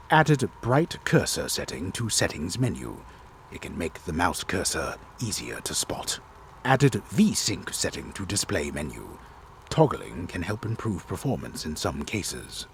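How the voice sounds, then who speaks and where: A disembodied male game storyteller speaks from deep down in his range. He is solemn and deliberate, reading dramatically with sweeping, animated intonation. A faint ambient noise sits behind the voice. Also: gravelly, exaggerated voice. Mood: grave, theatrical. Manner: theatrical, deliberate, solemn delivery. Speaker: narrator.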